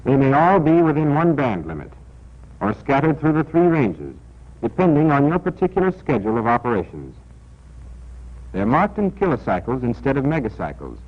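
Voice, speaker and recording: An American man narrating a 1940s military training film in a scripted, deliberate, instructional and emphatic manner, on an old film soundtrack. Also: medium pitch, big pitch swings. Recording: dull, band-limited 1940s film soundtrack.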